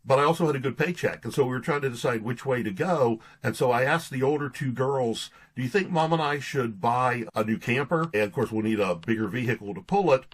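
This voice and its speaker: A man's voice: medium pitch, fairly quick, hardly any pauses, slightly rough. A middle-aged man from Pittsburgh speaking as an interview guest, telling personal stories in a folksy, conversational way. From Pittsburgh, United States. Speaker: guest, pastor and author.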